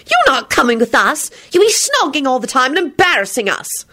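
A woman's voice: high-pitched, steady tone, moderate pace, pitched above the performer's own voice, close to an everyday voice. A woman voicing an outspoken, easily embarrassed teenage girl in a storybook reading. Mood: indignant.

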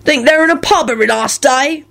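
A shifty scrounger from a storybook, acted by a woman, pitched high and grumbling. His talk is slangy and scruffy, with big swings in pitch. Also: lively intonation, fairly quick, fairly bright tone, heavily acted. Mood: angry.

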